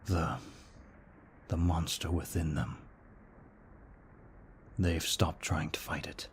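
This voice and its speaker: A man playing a gentle, remorseful vampire in an ASMR roleplay, speaking slowly and formally in a soft, breathy near-whisper. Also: deep voice, slight background noise. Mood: conflicted, anguished. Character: brooding remorseful male vampire, gentle and protective. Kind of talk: ASMR roleplay, scripted monologue.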